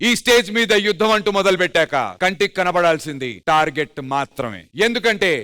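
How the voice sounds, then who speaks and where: A man with a Telugu Indian accent hosting a TV dance competition, presenting with high energy and emphatic, drawn-out announcing. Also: expressive, music in the background.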